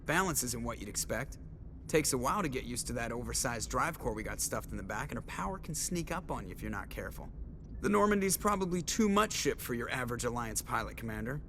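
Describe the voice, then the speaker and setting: A man's voice: mid-range voice, animated, fast, pushed and bright, slight background noise. A man acting a cocky, wisecracking starship pilot in a science fiction video game, casual and boastful.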